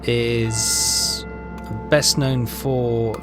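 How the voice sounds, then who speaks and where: Guy doing a casual game walkthrough, hesitant, thinking out loud, music playing behind him. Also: mid-range voice, level tone, extremely slow. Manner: hesitant, rambling, thinking aloud. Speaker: gaming video host.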